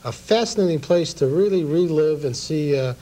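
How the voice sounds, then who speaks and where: A man's voice: fairly high pitch, expressive, moderate pace, dark tone. A middle-aged American man hosting a casual interview chat in a TV studio. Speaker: talk show host. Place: TV studio at a round table. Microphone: lapel.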